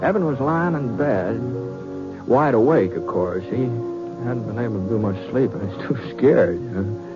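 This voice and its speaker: Western radio narrator male actor: folksy, conversational, mid-pitched, muffled old broadcast. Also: lively intonation, normal speed, natural voice. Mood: amused reminiscing. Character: folksy Western storyteller spinning a yarn. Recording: muffled vintage radio, faint music.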